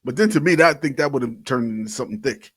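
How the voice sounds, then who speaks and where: Male podcast co-host, mid-pitched, slangy casual banter, very dry close recording. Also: fast talker, fairly bright tone, husky, no room sound. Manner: informal, slangy, swears casually. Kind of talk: casual banter and beer tasting chat.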